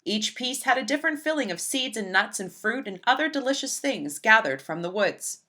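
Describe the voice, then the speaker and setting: A woman reading a children's picture book aloud in an expressive storytelling style. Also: on the high side, fairly quick.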